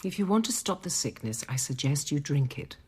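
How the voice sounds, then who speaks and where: A patient convent apothecary in middle age for a radio drama. Her voice sits low and has a husky grain. She guides in a measured, devout, gently instructive manner. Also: lively intonation, heavily acted. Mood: calm concern.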